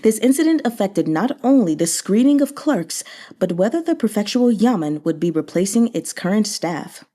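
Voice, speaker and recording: A woman reading a novel aloud as narrator, in a measured storytelling manner. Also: medium pitch, expressive, warm tone. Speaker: audiobook reader.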